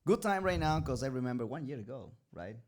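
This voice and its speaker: An Ecuadorian man hosts a relaxed podcast. He talks with excitable energy and laughs often. His voice jumps in loudness from word to word. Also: mid-range voice, fast. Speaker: podcast host. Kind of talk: casual podcast chat.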